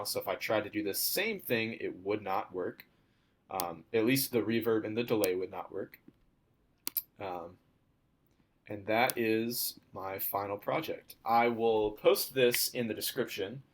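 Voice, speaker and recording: A young man, a student, walking through a demo of his software project in an informal, step-by-step way. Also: on the low side. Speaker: student presenting a class project.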